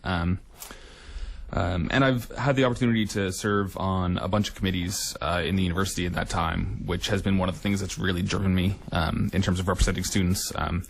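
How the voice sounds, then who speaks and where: A man's voice: deep voice, steady tone, a little fast, creaky. A young man, a student leader, answering interview questions earnestly with many ums. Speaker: student union director-elect, guest.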